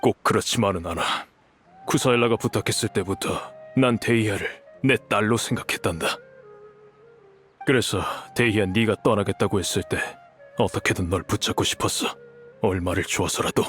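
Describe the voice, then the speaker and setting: Man acting a dignified low-voiced older merchant father. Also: a bit bright, soft background music, close to an everyday voice. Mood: remorseful, solemn. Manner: measured, formal elder speech. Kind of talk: dubbed video game acting.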